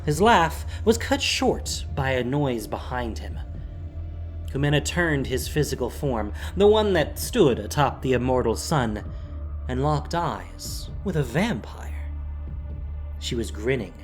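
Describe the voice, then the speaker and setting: A male narrator reads scripted fantasy with drama. His delivery is slow and deliberate, with weighty pauses, at a medium pitch. Soft music plays faintly behind him. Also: very animated.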